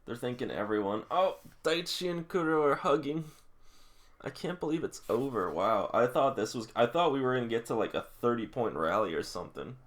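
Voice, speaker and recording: A man with a mid-pitched voice reads along and reacts as he goes. His delivery is casual and conversational, breaking into emotional fan exclamations between stretches of reading. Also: expressive, fairly quick, a bit bright. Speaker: reader reacting to a manga.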